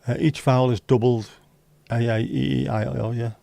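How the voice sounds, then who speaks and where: A man's voice: mid-range voice, steady tone, normal speed, gravelly, dark tone, no echo. A man hosting a casual livestream, reading out chat messages and teasing viewers with jokey, lightly sweary banter. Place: home livestream setup.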